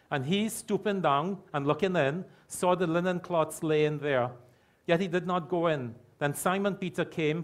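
A man's voice: fairly high pitch, fairly bright tone. Middle-aged male pastor preaching from the pulpit. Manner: emphatic, repeats key phrases slowly. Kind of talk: sermon. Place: church hall with stage curtains.

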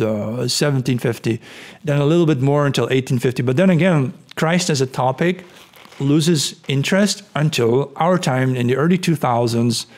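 Middle-aged male podcast host, hoarse, rambling explainer with restarts. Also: mid-range voice, darkish tone. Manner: thinking aloud, many fillers and restarts. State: hoarse, voice cracking, clearing throat. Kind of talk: casual explanatory talk over screen share. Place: indoor room at home. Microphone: studio mic on boom arm.

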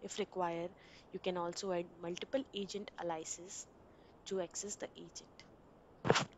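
Low-voiced woman narrating a software tutorial. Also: a little slow, slight background noise. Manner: steady instructional reading with small grammar slips. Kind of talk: read screen-recording walkthrough. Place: voice-over for a screen recording.

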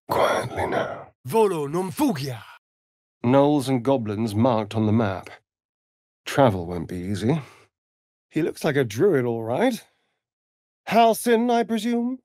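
A man's voice: medium pitch, a little mellow, no room sound, clean studio sound. A man with an English accent playing a vain, sardonic aristocratic vampire rogue in a video game, theatrical and arch. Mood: hushed, then incanting, then wry, amused.

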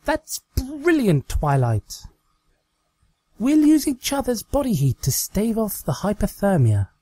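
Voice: mid-range voice, warm tone, voice pushed up. A performer voicing a coy, melodramatic female cartoon pony magician in a fan-fiction reading, with an overly dramatic delivery.

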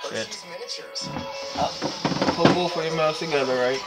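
A man's voice: a little high, moderate pace, over loud music, poor audio. A young man with a Puerto Rican accent gives a casual, rambling how-to vlog, filmed on a phone in an indoor reptile room. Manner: loose and rambling, with laughs and swearing. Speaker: reptile breeder and vlogger. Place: indoor reptile room lined with storage tubs. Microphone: phone held close at arm's length.

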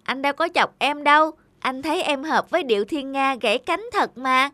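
A woman's voice: high-pitched, moderate pace, bright, forceful tone, no echo. A woman voicing a cocky little older brother in a children's cartoon, cheeky and brash. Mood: mocking. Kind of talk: animated children's cartoon acting.

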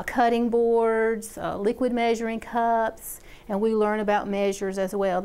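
Lady agent talking easy, lots of ums, nice smooth clear voice. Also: mid-range voice, darkish tone. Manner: chatty, with many fillers and restarts. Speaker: extension agent. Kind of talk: interview about a program.

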